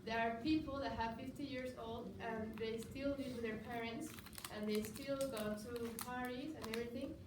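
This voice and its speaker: A nineteen-year-old woman with a Mexican accent, a native Spanish speaker, giving a halting debate rebuttal in English. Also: on the high side, steady tone. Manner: halting, searching for words in English. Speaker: student debater. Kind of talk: spoken rebuttal in a classroom debate. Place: classroom. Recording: echoey, noisy room, mic far away.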